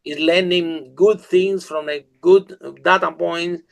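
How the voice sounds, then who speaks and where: Man, spanish accent, very gravelly voice, slightly high, enthusiastic podcast host, halting with fillers. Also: steady tone. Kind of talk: casual interview chat.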